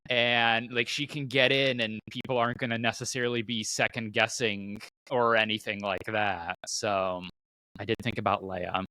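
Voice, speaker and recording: A man's voice: lowish voice, level tone, normal speed, pushed and bright, no room sound, low-quality recording. A man chatting casually as a guest on a podcast. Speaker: podcast guest and co-host of another show. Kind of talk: casual chat.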